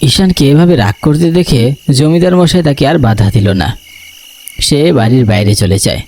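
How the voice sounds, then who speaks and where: A man's voice: mid-range voice, lively intonation, moderate pace, darkish tone, slight background noise. A man narrating a scripted horror story for a cartoon.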